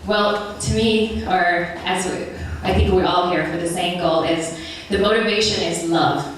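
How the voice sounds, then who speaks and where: A young woman from Ethiopia giving an earnest, measured prepared speech at a public meeting. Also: lowish voice, fairly even intonation, a little hiss or hum. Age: young adult. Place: large meeting hall. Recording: echoey hall recorded from far off.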